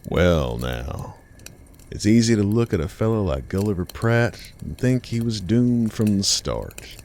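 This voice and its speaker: An American man narrating a scripted horror tale in a deliberate, ominous, folksy campfire storytelling manner. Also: on the low side, sing-song, darkish tone. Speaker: storyteller host of a horror series. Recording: music bed behind the voice. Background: music.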